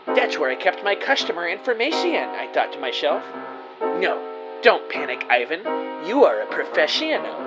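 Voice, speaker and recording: An actor playing an excitable, anxious amateur spy who narrates his own story in an audio drama. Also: high-pitched, normal speed, dull audio. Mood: anxious narrating. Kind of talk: scripted first-person story narration. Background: music.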